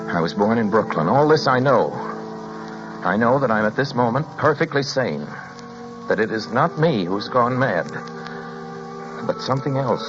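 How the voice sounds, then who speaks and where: A haunted man in his thirties, played by an actor, with a deep voice, tells his own story in an old radio thriller. The sound is dull and lo-fi, with music clearly behind him. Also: lightly acted. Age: 30s. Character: haunted man of thirty-six, desperately clinging to his sanity. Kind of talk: 1940s radio drama acting.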